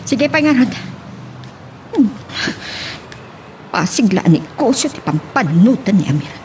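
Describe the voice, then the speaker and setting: A woman's voice: on the high side, very animated, a little slow, a little mellow. A woman with a Filipino accent playing a suspicious, scheming woman in a radio drama. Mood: suspicious, musing. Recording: prominent music under the voice.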